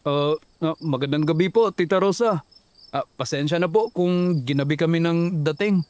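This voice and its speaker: A man's voice: on the high side, moderate pace, darkish tone, close to an everyday voice. A man voicing a young man who drives his friends and speaks casually and politely.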